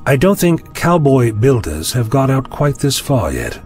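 Breezy, fussy gentleman explorer played by a man, chatty, animated intonation, sci-fi audio drama. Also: medium pitch, unhurried, faint music behind. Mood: musing. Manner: musing, chatty, whimsical. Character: curious, breezy, slightly fussy male time-travelling adventurer.

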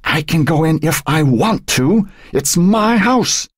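A man's voice: extremely low pitch, very animated, a little slow, a little mellow, husky, no room sound, studio quality, full character voice. A man voicing an angry, confused and stubborn old woman in an audiobook story, agitated and demanding. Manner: demanding, agitated, hissing in anger.

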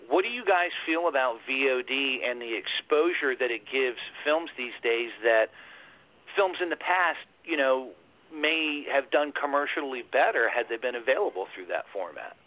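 A male horror podcast host asking guests questions, enthusiastic and quick to swear and laugh along. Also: medium pitch, animated. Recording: tinny phone-like audio.

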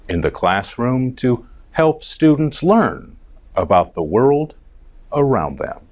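A man's voice: lowish voice, darkish tone. A middle-aged American man presenting a scripted news report for English learners, enunciating carefully phrase by phrase. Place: TV studio.

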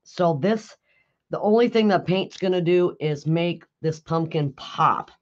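A raspy, hoarse woman hosting a live craft tutorial, chatting with viewers and answering their comments while she works. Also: lowish voice, measured pace, dull audio. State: hoarse after illness. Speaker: craft video host. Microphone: overhead camera on a craft table.

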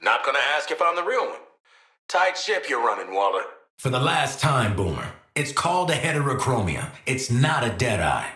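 A man's voice: mid-range voice, very animated, normal speed, bright, forceful tone, reverberant. An actor playing a cynical, cocky assassin and protective father in a video game, with an American accent and a wry, slangy, streetwise way of talking. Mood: wry, confident. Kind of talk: video game character voice lines.

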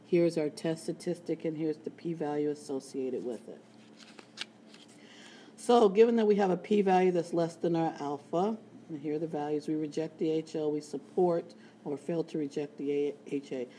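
A woman teaching a statistics lesson in a screencast, with a raspy voice and playful, chatty asides. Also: sits low, moderate pace, dark tone, a little hiss or hum. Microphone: tablet screen recording.

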